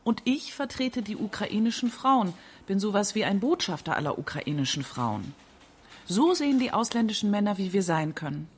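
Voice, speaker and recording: A woman's voice: medium pitch, very animated, normal speed, close to an everyday voice. A woman voicing a proud, outspoken Ukrainian businesswoman in a radio documentary voice-over. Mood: proud, assertive. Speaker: voice-over translator. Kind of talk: translated interview read as voice-over. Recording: faint background noise.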